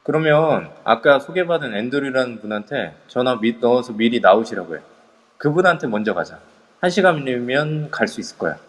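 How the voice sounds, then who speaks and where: A man playing a young male group leader who gives brisk orders in an amateur audio drama. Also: medium pitch, close to an everyday voice. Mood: lively. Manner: animated, commanding. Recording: reverb-heavy online audio.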